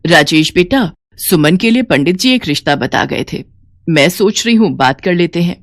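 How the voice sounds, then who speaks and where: A woman voicing a gentle older mother-in-law in a cartoon story, affectionate and fretful about her family. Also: fairly low pitch, lively intonation, normal speed, dry recording. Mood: concerned.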